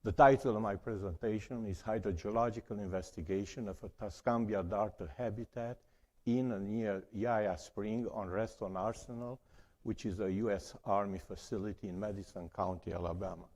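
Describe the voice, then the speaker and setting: A man's voice: lowish voice, steady tone, normal speed, a little mellow. A man from Romania with a Romanian accent, giving a conference presentation in careful, slightly broken English. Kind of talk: academic conference talk.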